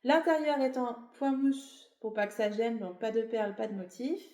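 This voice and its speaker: A woman's voice: on the high side, normal speed, mellow. Chatty woman with a cold, echoey room. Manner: chatty, rambling, many fillers and self-corrections. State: has a cold; a bit tired. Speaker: knitting vlogger. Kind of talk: casual show-and-tell explanation.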